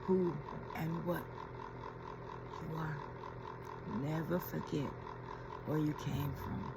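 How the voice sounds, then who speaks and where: A woman's voice: sits low, steady tone, slow, gravelly, heavy background noise. A woman giving a reflective inspirational talk as a spiritual healing coach, repeating key phrases and laughing as she retells a story.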